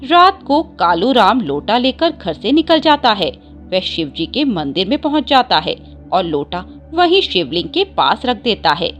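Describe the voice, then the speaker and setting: A woman's voice: mid-range voice, sing-song, a little slow, a bit bright, dull audio, soft background music. A woman narrating a scripted devotional story as a storyteller.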